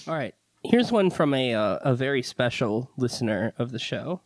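A man hosting a baseball podcast, chatting casually and laughing often. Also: mid-range voice. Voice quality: laughs often, relaxed and unhurried. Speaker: podcast host.

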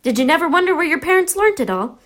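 A woman voicing a huge gruff, hot-tempered but good-hearted man with rustic, blustering speech in a storybook reading. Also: big pitch swings, quick pace. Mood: gruff, indignant. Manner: rustic dialect, booming, blustering.

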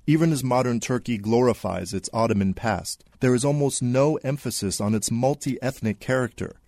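A man's voice: mid-range voice, normal speed, darkish tone, no echo. A man reading a scripted radio news report as a correspondent.

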